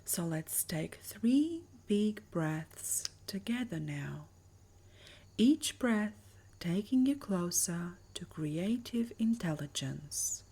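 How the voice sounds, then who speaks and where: A woman with a soft, breathy voice narrating a guided meditation in a soothing, measured manner. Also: lowish voice, very animated. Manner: soothing, measured, breathy delivery with lingering pauses.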